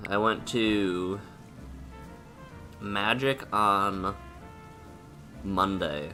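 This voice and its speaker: A sniffly man with a sore throat talks slowly, with plenty of pauses and hums as he thinks aloud. His voice sits a little low but sounds bright and forceful. Faint game music plays behind him. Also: animated. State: stuffy and sniffly. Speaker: streamer. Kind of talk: casual gameplay commentary.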